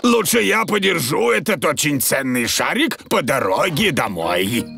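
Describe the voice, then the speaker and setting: Old cartoon grandpa pig, blustery, hearty, creaky voice, hugely animated intonation. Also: male voice, a little high, unhurried, music in the background, heavily acted. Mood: instructive. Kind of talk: cartoon dub acting.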